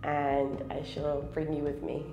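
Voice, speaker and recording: A woman's voice: sits low, reverberant. A woman in her thirties, stuffy and a little nasal from a cold, chatting to camera as a travel vlogger and laughing often. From Scotland. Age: 30s. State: congested from a cold. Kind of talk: casual vlog to camera. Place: small wooden cabin, then inside a car. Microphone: clip-on lapel mic.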